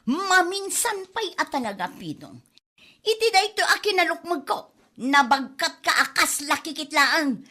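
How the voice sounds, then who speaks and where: High, bright-voiced bossy wife, Ilocano accent, scolding with wide swings, for comedy audio drama played by a woman. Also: sing-song, measured pace, exaggerated voice. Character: domineering, threatening, heavyset wife scolding her drinking husband. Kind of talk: comedy drama podcast acting.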